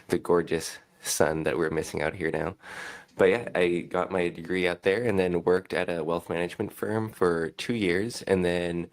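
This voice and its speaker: A man in his thirties from Hong Kong talks as a podcast guest. His voice sits very low, and he tells his story in a relaxed, friendly, chatty way. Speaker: financial advisor guest. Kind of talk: casual podcast chat.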